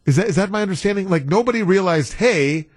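A man's voice: high-pitched, lively intonation, quick pace, dry recording, studio quality. An American man hosting a talk radio show with casual, playful and wry banter. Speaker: guest radio host.

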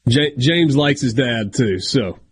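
A man's voice: medium pitch, lively intonation, a little slow, husky. A man hosting a sports radio show, chatty and self-deprecating, with a big voice. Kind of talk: casual sports radio talk.

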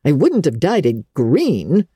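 Woman putting on a stern older guardian, scolding, sarcastic, lively pitch, audiobook. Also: takes it slowly, husky, dry recording, clean studio sound, full character voice. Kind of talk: audiobook character reading.

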